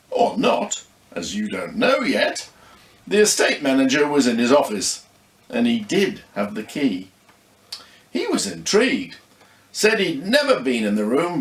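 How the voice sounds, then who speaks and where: Guy narrating a story, really animated, dramatic pauses, echoey room. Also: mid-range voice.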